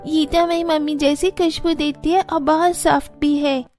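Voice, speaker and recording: Woman as a sweet, high-voiced eager child. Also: fairly even intonation, normal speed, a little mellow, faint music behind, natural voice. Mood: delighted. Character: affectionate, eager small child. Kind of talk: Hindi cartoon story voice acting.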